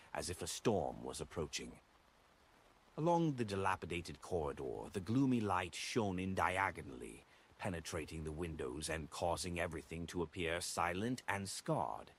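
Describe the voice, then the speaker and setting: Male audiobook narrator, low and husky, lively intonation across scripted fiction.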